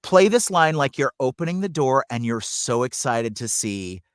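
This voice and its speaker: Dry-sounding man, animated voice coach. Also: mid-range voice, moderate pace, no room sound. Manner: animated, acts out examples as he explains. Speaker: voice actor and coach. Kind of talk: conversational interview storytelling.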